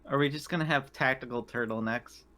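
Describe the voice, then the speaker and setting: A man with a medium-pitched voice, talking casually as a player in a tabletop game. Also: fairly quick, bright, forceful tone, a little hiss or hum.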